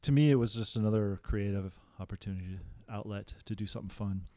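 Man, lowish voice, podcast co-host, casual chat, halting delivery, self-deprecating. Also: mellow.

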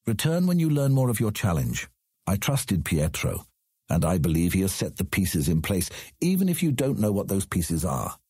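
A man playing a proud, measured ruler in a fantasy audio drama, speaking formally and with deliberate command. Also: low voice, huge pitch swings, darkish tone, breathy edge, no echo, clean studio sound, heavily acted. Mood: stern, measured. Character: proud, measured ruler of an outland city on his throne.

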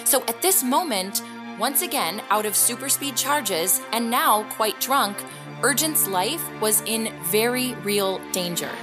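A woman playing a composed narrator who recounts a superhero case in measured, expository, scripted documentary style. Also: fairly high pitch, expressive, music in the background. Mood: composed, explanatory.

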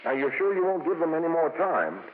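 An actor playing a breezy, self-assured songwriter, a bit prickly, in a 1950s radio drama. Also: male voice, on the high side, very animated, a little fast. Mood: casual, scheming. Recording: very noisy, tinny old radio broadcast.

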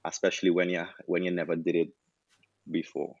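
A young man with a Trinidadian accent, a doctor turned chef, telling his story in a relaxed interview with many restarts. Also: sits low, a little fast, no echo, highs cut off. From Trinidad and Tobago. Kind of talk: casual interview answers.

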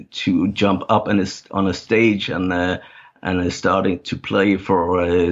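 Man, native Danish speaker, low pitch, halting with many fillers, muffled lo-fi audio. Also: vocal fry, breathy edge. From Denmark. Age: middle-aged. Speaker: guest. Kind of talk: casual interview talk.

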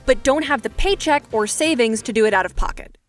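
A woman with a slightly high voice hosts a scripted how-to podcast. She talks conversationally and slips in wry, self-aware asides, with music faintly behind her. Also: animated, a little fast. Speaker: podcast host.

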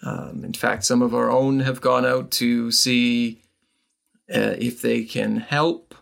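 A man voicing a protective, troubled mother in a tabletop game, speaking carefully and haltingly. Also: mid-range voice, fairly even intonation, vocal fry, fairly bright tone, exaggerated voice. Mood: worried, guarded.

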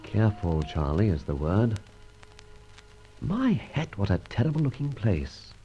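An actor playing a suave, unflappable British gentleman adventurer in an old radio serial, well-spoken, wordy and ironically polite. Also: fairly low pitch, very animated, a little slow, dull audio, soft background music. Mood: wary, brisk.

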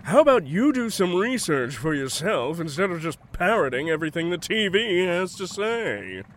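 An indignant customer in an audio comedy. His voice is high and very animated, huffy and accusatory, over faint shop ambience. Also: normal speed, slight background noise. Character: indignant, self-righteous pet shop customer, man. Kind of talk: audio drama comedy acting.

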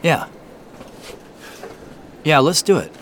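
An actor playing a weary, wry man who narrates his own secret quest in an audio drama, in a measured way. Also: a little high, very animated, fairly bright tone, a little hiss or hum, exaggerated voice. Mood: casual, wry. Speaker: narrator and lead character. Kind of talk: first-person audio drama narration and dialogue.

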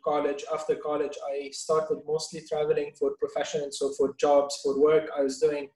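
A middle-aged man from Lebanon, a business guest answering interview questions in a measured way, pausing often with fillers. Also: a little high, monotone, mellow, echoey room, low-quality recording. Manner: measured, with many fillers and restarts. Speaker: guest, venture investor.

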